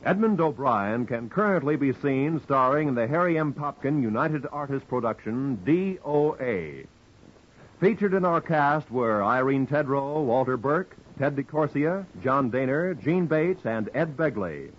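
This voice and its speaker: An American man announcing from a script in formal, measured 1950s radio style. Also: mid-range voice, lively intonation. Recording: dull, noisy vintage radio broadcast recording.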